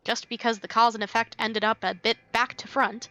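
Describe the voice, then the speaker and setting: Bright, high-voiced woman live streamer. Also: flat delivery, fairly quick. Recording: game music behind.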